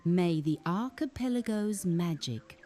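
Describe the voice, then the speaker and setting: Female bedtime storyteller, soothing and expressive, mellow tone, very varied pitch. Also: measured pace, soft background music. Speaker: children's bedtime story narrator. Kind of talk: scripted storytelling narration.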